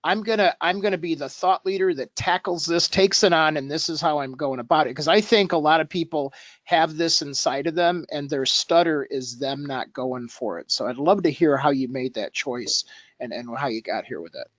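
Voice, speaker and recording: A man hosting a casual interview, talking in a halting, rambling, personal way. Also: a little high, fairly bright tone, dry recording, highs cut off. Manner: halting, rambling, self-disclosing. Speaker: host interviewing a guest.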